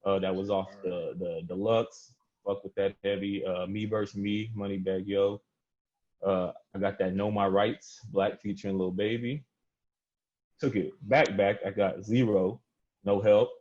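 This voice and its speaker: Casual podcast host: American male, deep-pitched, informal and slangy, muffled lo-fi recording. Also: flat delivery, measured pace, a little mellow. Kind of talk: casual unfiltered round-table chat.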